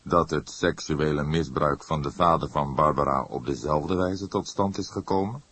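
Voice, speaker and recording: A man voicing a calm, probing veteran police detective in an audiobook, with a friendly, measured manner. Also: very deep voice, breathy edge, full character voice. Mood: sceptical, careful. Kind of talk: audiobook character acting.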